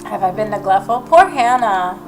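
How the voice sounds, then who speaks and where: A woman's voice: mid-range voice, expressive, moderate pace. A woman gaming host who reads a visual novel aloud and adds opinionated, reactive commentary. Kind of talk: game commentary, reading narration aloud. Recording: game music behind the voice.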